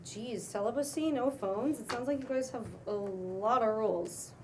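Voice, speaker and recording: A woman's voice: a little high, moderate pace, a little mellow, slight background noise, close to an everyday voice. Woman playing a skeptical young woman, slightly distant. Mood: defensive, curious. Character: skeptical young woman, dry, curious, easily awed. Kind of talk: cold table read of a pilot script.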